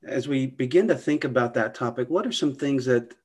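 Man, podcast host, friendly, hesitant restarts, middle pitch. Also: a little fast, slightly rough. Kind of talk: podcast interview hosting.

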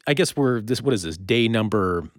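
A man hosting a casual interview, asking questions in a conversational, somewhat halting way. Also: lowish voice, expressive, no echo, studio quality. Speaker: interview host.

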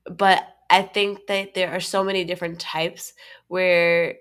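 Woman podcast co-host, stuffy with a cold, animated casual delivery. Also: lowish voice, a little slow, clear, resonant tone. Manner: animated, laughs often, restarts phrases. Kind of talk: casual chat.

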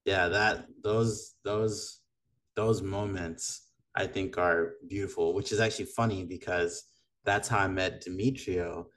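A man's voice: sits low, steady tone, unhurried, a bit bright. A man from Madagascar hosting a podcast, chatting casually and laughing easily. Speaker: podcast host. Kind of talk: casual chat.

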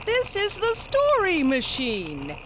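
A woman's voice: very high voice, wildly swooping pitch, slow, background noise, exaggerated voice. A woman playing a polite talking machine that formally announces stories for children.

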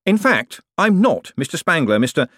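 Man playing a brisk, smooth-talking reformed swindler. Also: on the high side, sing-song, fairly bright tone, no room sound, clean studio sound, pitched above the performer's own voice. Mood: polite, wary. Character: quick-witted male swindler turned bank official, smoothly polite.

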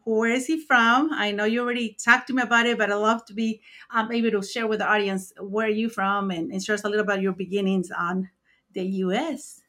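A woman's voice: medium pitch, fairly even intonation, a little fast, bright, forceful tone. A woman who speaks natively, hosting a podcast interview in an effusive, enthusiastic, conversational way. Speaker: podcast host.

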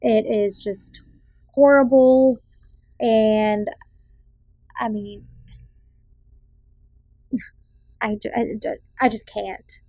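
A woman's voice: fairly high pitch, fairly even intonation, very slow, long pauses, mellow, slightly rough, dry recording, low-quality recording. A young adult woman from Massachusetts's South Shore with a faint Boston accent, hosting a casual movie review podcast in a chatty, opinionated way with frequent ums. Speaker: podcast host.

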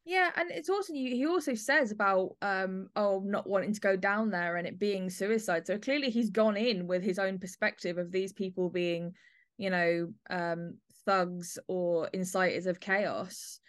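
A woman hosting a podcast, discussing drama in a measured, analytical way. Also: medium pitch, no room sound, clean studio sound. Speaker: podcast host. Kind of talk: discussing an audio play.

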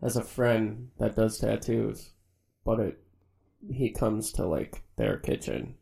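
A man's voice: fairly low pitch, sing-song, creaky, dark tone. A young man co-hosting a podcast, joking and asking questions with casual swearing. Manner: jokey, quick questions, swears freely. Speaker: podcast co-host. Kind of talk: casual chat.

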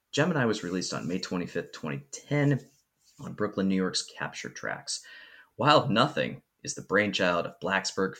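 A man hosting a podcast, speaking in a measured, articulate way as he reads introductions and interviews a guest. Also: sits low, fairly bright tone. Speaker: podcast host.

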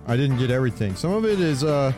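A man talking casually as a gaming podcast host, halting as he thinks aloud. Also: mid-range voice, lively intonation, over music.

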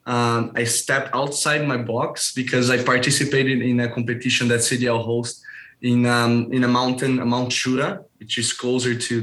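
A young Brazilian man speaks English as a second language, with a Portuguese-shaped accent. His voice sits at an average pitch. He gives casual interview answers in a halting way, often searching for the right word. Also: fairly bright tone. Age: young adult. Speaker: interview guest, school alumnus.

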